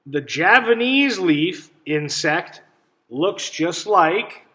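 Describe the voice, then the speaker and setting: A man reading a picture book aloud to children as a teacher, pausing to ask simple questions and explain things. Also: high voice, expressive, sharp tone. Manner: instructive, checks understanding with simple questions.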